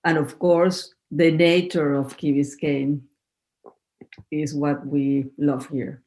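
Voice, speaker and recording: A woman's voice: low-pitched, level tone, slow, a little mellow. A woman in her fifties from Venezuela, a native Spanish speaker, answering interview questions in a podcast in an earnest, slightly formal way. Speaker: guest.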